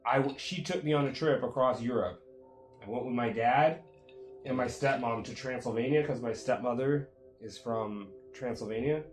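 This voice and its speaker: A man's voice: medium pitch, moderate pace, reverberant, soft background music. A man whose first language is Jamaican Patois, chatting casually and telling a rambling story with lots of fillers. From United States. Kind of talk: casual chat.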